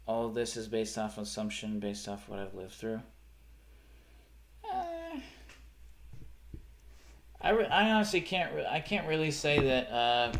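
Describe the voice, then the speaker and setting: A man's voice: medium pitch, slight background noise. A young man talks loosely to his live-stream audience in a mid-range voice, with a light rural Pennsylvania accent. He rambles, corrects himself mid-sentence and lets thoughts trail off. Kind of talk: casual live-stream chat.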